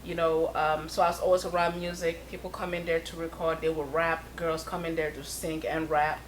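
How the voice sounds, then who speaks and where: A woman who is the guest in an interview, chatting casually about her life in music, laughing often and sending shout-outs to friends. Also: low-pitched, flat delivery, fairly quick, slight background noise. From Europe.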